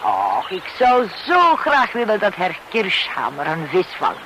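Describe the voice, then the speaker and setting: An actress in a radio play voicing a middle-aged widowed hotel owner, brisk, expressive and persuasive. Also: female voice, lowish voice, background noise. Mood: friendly, probing. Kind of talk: radio drama, narration and dialogue.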